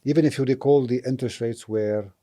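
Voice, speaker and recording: A middle-aged man from Lebanon, a property investor, answering interview questions thoughtfully with many pauses and fillers. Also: mid-range voice, fairly even intonation, warm tone. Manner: thoughtful, hesitant with frequent fillers.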